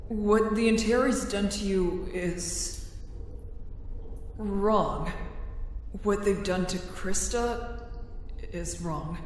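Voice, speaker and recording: A woman acting a caring, steady young companion in an audio drama, reassuring and coaxing in a measured way. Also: mid-range voice. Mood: somber. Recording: very echoey space with faint noise.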